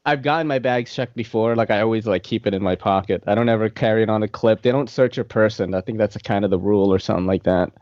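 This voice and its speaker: A man in his late thirties hosts a loose podcast chat, easygoing and quick to laugh, his speech full of "like" and "man". The recording is dry and a little dull, lo-fi with no room sound. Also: lowish voice, a little mellow, no echo. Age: 30s. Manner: laid-back, laughs often, says "like" and "man" a lot. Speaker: podcast host. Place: New York City.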